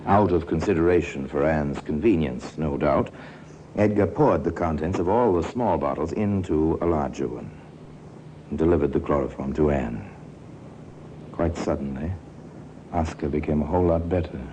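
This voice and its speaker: Very deep, dark-voiced crime storyteller. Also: male voice, moderate pace. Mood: grave, measured. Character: grave male storyteller of a true-crime tale. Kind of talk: 1950s radio crime drama narration. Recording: noisy old radio recording with rumble.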